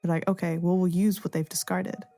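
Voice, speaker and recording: A woman's voice: lowish voice, level tone, brisk, mellow. A woman speaking thoughtfully and tentatively as an artist explaining their work in a reflective interview. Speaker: artist and playwright being interviewed.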